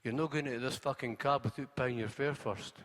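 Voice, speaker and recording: A man's voice: medium pitch, fairly even intonation, brisk, a bit bright, long reverb tail, lightly acted. A Scottish man voicing a coarse, pushy male cabbie in quoted dialogue. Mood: brash, loud.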